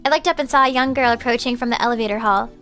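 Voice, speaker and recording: A woman streamer reading a visual novel's narration aloud, breaking off into loud, excited reactions. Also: on the high side, rapid-fire. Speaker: vtuber streamer. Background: game background music.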